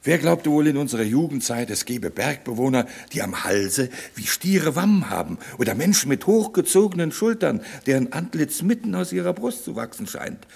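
An actor playing a kindly, pious old courtier full of wonder, in a classic radio play. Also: male voice, fairly high pitch, very animated, normal speed, vocal fry, hardly any pauses, darkish tone, slightly rough, heavily acted. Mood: reassuring. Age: older.

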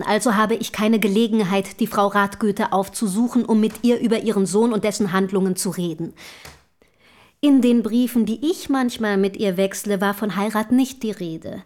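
A woman's voice: a little high, darkish tone, lightly acted. An actress in a German radio play playing a forward, inquisitive young woman of the Romantic era, with formal, polite, probing diction.

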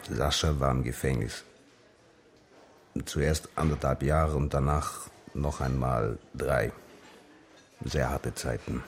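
A decisive, courteous middle-aged man in a radio play. His voice is exceptionally low with a heavy gravelly creak. He talks in a measured, serious way, always polite and controlled. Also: steady tone, exaggerated voice. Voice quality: gravelly, very deep. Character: grey-haired, decisive, courteous male acquaintance bearing grave news. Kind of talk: radio drama dialogue.

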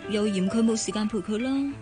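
An actress playing an earnest, proud young office worker and bride-to-be in a Hong Kong Cantonese radio drama. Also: female voice, medium pitch, brisk, music in the background, lightly acted. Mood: guarded.

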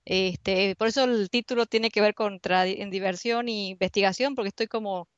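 A woman's voice: mid-range voice, steady tone, normal speed, no echo. A woman with an Argentine accent, a university researcher giving an online seminar presentation in a conversational way, searching for words as she goes. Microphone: computer mic on a video call. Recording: dull lo-fi online call audio.

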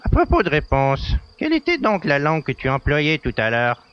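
Man acting a wildly animated grumbling castaway, muffled. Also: a little high, normal speed, clean tone. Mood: curious. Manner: grumbling, exclamatory. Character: grumbling, excitable male castaway in space.

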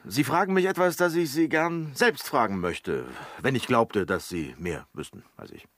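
An actor playing a cheeky, evasive manservant in a period comedy radio play, sly, playful and theatrical. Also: male voice, medium pitch, animated, moderate pace, no room sound, studio quality, lightly acted. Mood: evasive, amused. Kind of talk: German radio play acting.

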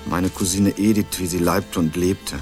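A man's voice: low voice, level tone, normal speed, a little mellow, natural voice. A young man narrating a radio crime drama in the first person, with a laconic, dry hard-boiled manner. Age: young adult. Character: sardonic young man hunting his missing cousin. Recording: radio play with music underneath.

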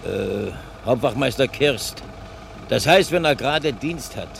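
An actor playing a gruff, grudging self-employed local driver, grumbling reluctantly, in a German radio crime drama. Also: male voice, medium pitch, lively intonation, a little slow, a bit bright, heavily acted. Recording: noisy outdoor scene with engine.

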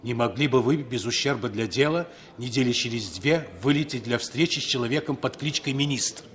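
An actor playing a suave, cautious male spy contact, measured and composed, in a 1960s radio play. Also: mid-range voice, a bit bright, lightly acted. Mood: businesslike. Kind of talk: 1960s radio drama acting.

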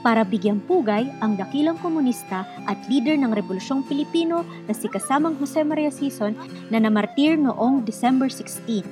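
Woman radio host, high voice, talks right at listeners and urges them on, bit of music under. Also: animated, moderate pace. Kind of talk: scripted radio show hosting, addressing listeners directly.

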